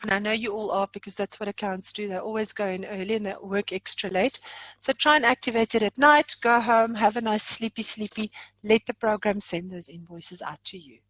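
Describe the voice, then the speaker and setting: A woman giving an informal live online software walkthrough as a trainer, chatty and playful, prone to exaggeration. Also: mid-range voice, steady tone, brisk, no echo, poor audio.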